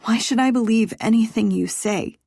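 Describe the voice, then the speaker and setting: A woman reading aloud, voicing a defiant, guarded young woman in a sharp, raised voice. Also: on the high side, moderate pace, gravelly, no echo, clean studio sound, pitched above the performer's own voice.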